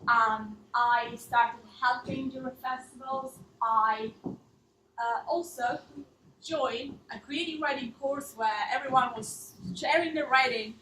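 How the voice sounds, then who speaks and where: A woman's voice: high-pitched, steady tone, takes it slowly, sharp tone, slight background noise. A woman in her thirties from Italy, speaking English with an Italian accent, giving an earnest storytelling talk as a language teacher, with small non-native slips. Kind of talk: storytelling talk to a live audience. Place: theatre stage. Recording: echoey hall, mic at a distance.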